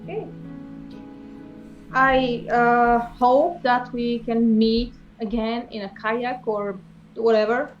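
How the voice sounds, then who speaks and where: A woman playing a wistful, awkward girlfriend in an improvised comedy breakup scene, speaking haltingly with stammers. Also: on the high side, steady tone. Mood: resigned. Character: wistful, awkward pregnant girlfriend being left, passively sarcastic. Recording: dull lo-fi sound, music behind.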